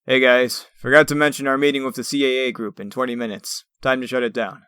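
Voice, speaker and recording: Man as a clear-voiced superhero team leader. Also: mid-range voice, flat delivery, fast talker, bright, forceful tone, no room sound, clean studio sound, natural voice. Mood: businesslike. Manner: authoritative, paternal. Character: firm, watchful superhero team commander. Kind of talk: comic dub acting.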